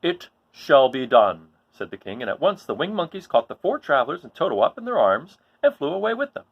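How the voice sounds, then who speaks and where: Very animated, husky male storyteller. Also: on the high side, fast talker, lo-fi sound. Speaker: storybook reader and host. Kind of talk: expressive children's story read-aloud.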